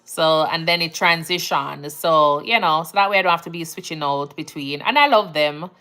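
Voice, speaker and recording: A woman's voice: sits low, normal speed. Chatty jamaican woman, laid-back. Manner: relaxed, chatty, laughs easily, Jamaican phrasing. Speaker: nurse livestream host. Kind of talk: casual livestream chat reading comments.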